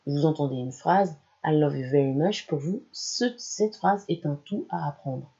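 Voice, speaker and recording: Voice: mid-range voice, unhurried, vocal fry, dark tone, muffled recording. A language channel presenter giving an explanatory talk that speaks straight to the listener. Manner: explanatory, addresses listener directly. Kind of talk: educational talk to camera.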